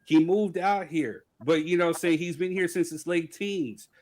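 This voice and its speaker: A man's voice: a little high, normal speed, over a noisy crowd. A man from California on a podcast panel, debating hip hop in an emphatic casual way, often asking "you know what I'm saying".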